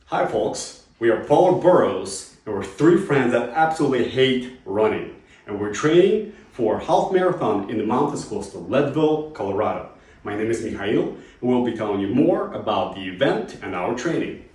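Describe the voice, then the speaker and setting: A man's voice: mid-range voice, sing-song, normal speed, darkish tone, far from the mic. Cheery guy saying hi to the camera, big echo, standing back from the mic. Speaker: runner introducing his team. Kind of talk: casual video greeting. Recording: very echoey, from off-mic.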